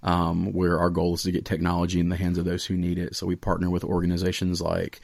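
A man's voice: low voice, completely flat delivery, quick pace, creaky, a little mellow, no room sound. An American man in his late twenties chatting casually and earnestly as a podcast host, with plenty of "like" and "you know". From Raleigh area, United States. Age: late 20s. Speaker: podcast host, student pastor.

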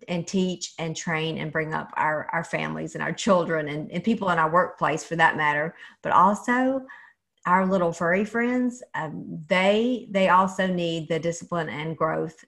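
A woman's voice: fairly low pitch, gravelly, fairly bright tone. A woman hosting a casual interview, speaking in an enthusiastic, affirming and reflective way. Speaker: show host.

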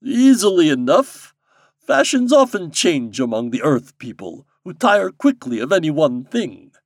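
A man's voice: a little high, very animated, measured pace, no echo, voice pushed up, full character voice. A man voicing a wise, stern fairy king who instructs with authority in a storybook. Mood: instructive, assured.

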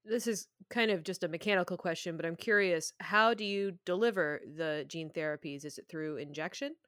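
A woman's voice: fairly low pitch, a little fast, fairly bright tone, no echo, studio quality. A woman hosting a podcast, moving between scripted introduction and conversational interview questions.

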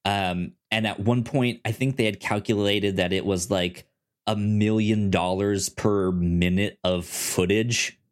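A man with a fairly low voice co-hosts a casual film podcast. He talks haltingly, often stammering and doubling back on words as he works through his thoughts. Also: fairly even intonation. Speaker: podcast co-host.

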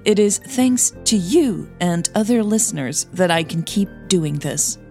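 A woman's voice: on the low side, lively intonation, measured pace, mellow, faint music behind. A woman narrating a scripted audio drama as its storyteller and podcast host.

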